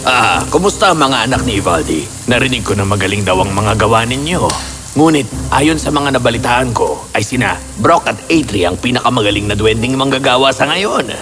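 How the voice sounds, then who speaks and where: A man's voice: mid-range voice, expressive, a little fast, lightly acted. A man playing a sly, mischievous trickster god in a fairy tale, with theatrical, scheming delivery. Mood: sly, coaxing. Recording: loud background music.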